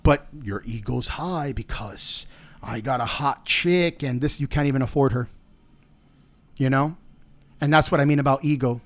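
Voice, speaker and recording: A man's voice: medium pitch, normal speed. A middle-aged man hosting a podcast, talking through a casual monologue in a blunt, profane and joking way. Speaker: podcast host.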